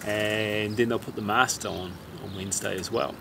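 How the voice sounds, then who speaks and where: A man's voice: lowish voice, moderate pace, vocal fry. A man hosting a sailing vlog, chatting casually outdoors by a seaside harbour. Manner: relaxed, chatty, thinking aloud. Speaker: vlog host. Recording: loud outdoor noise.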